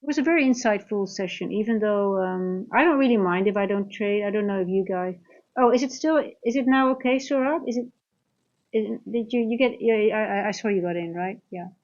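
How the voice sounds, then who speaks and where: A woman's voice: mid-range voice, brisk, darkish tone. A woman from the Netherlands with a Dutch accent, chatting informally as host of a livestream and talking directly to viewers. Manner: informal, conversational, addressing chat directly. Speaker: livestream host, trading coach. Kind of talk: casual livestream chat. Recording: dull lo-fi livestream audio.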